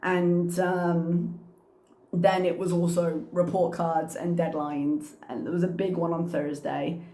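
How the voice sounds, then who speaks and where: A congested woman with a slight cough talks casually to her vlog audience, her voice sitting a little low. She rambles from one story to the next in a chatty, easygoing way. The sound bounces around an echoey room. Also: flat delivery, slow, dark tone. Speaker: teacher and channel host.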